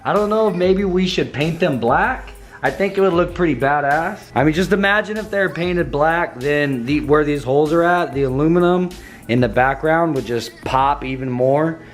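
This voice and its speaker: A young man with a slightly hoarse voice, walking through a car build in a casual vlog and tossing in dude and bro. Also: a little high, moderate pace, soft background music. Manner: laid-back slangy, says dude and bro. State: slightly hoarse, losing his voice.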